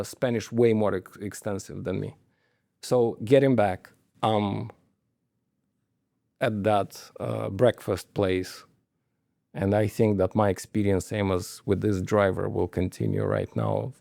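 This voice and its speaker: A man's voice: fairly low pitch, vocal fry, warm tone, no room sound, studio quality. A man, a company manager telling stories in an interview, hesitant, with many fillers and restarts. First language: Romanian. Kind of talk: conversational interview storytelling.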